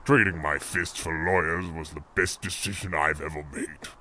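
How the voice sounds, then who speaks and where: A man's voice: a bass voice, steady tone, moderate pace, bright, forceful tone, a little hiss or hum. A man playing a heartless, miserly old landlord who taunts theatrically in an old-time radio comedy parody. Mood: indignant.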